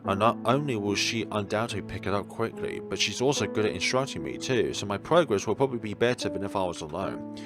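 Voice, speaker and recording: Man, lowish voice, animated story narration, let's play read-aloud, guitar music underneath. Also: quick pace. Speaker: video game let's player. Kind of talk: expressive read-aloud narration of a visual novel.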